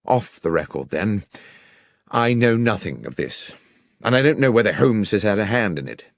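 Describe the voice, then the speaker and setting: A man with a British accent voicing a loyal, worried Victorian gentleman doctor in an audiobook, polite and earnest. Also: lowish voice, sing-song, normal speed, creaky, no room sound, clean studio sound. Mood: guarded.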